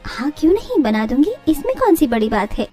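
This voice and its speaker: A woman's voice: high-pitched, very animated, fairly quick, mellow, faint music behind. A woman voicing a cheerful, confident, crafty young daughter-in-law in a cartoon family story. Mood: obliging, confident.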